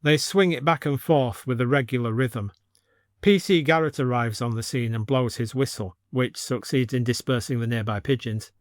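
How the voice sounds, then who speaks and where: A man's voice: mid-range voice, normal speed, no room sound, studio quality. A man reading scripted narration for an audio story as the storyteller.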